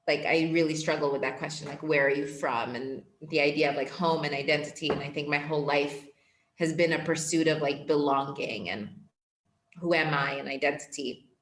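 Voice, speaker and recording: A woman's voice: sits low, steady tone, fairly quick, fairly bright tone, echoey room, a little hiss or hum. A young woman guest, an empowerment coach, talking casually and earnestly in a podcast interview, full of reflective filler words. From born in Portugal, raised in Ethiopia. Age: young adult.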